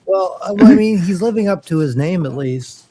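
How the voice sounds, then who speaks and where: A man's voice: sits high, animated, normal speed, warm tone, a little hiss or hum. A man chatting casually on a podcast as co-host, hesitant and often restarting his phrases. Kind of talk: casual chat.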